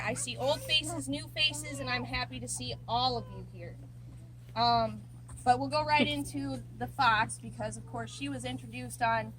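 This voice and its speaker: Middle-aged woman giving a talk, high voice, earnest and plain, lots of ums, noisy background. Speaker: licensed wildlife rehabilitator. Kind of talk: public talk to an audience.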